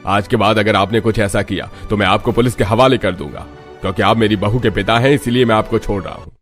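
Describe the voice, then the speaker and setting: A man acts out a proud, rich family patriarch in a moral story. The voice is low and authoritative, scolding and stern, with faint music behind. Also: quick pace, a bit bright, lightly acted. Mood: angry, threatening. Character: stern, proud, wealthy father-in-law, head of family.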